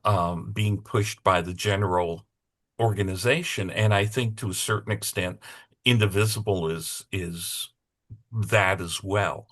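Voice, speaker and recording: Low-voiced man asking a halting question. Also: steady tone, a bit bright. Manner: halting, many fillers and restarts. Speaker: audience member asking a question. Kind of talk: question in a forum discussion.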